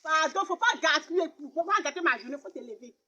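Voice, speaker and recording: A scornful, fiery poor young woman, played by a woman with a very high voice. Her speech often breaks between sobs and laughter. The recording sounds dull and muffled. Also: expressive, brisk, bright, forceful tone.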